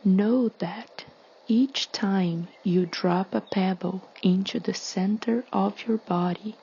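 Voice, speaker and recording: A woman leading a guided meditation in a soothing, hushed, breathy delivery with long pauses. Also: mid-range voice, highs cut off.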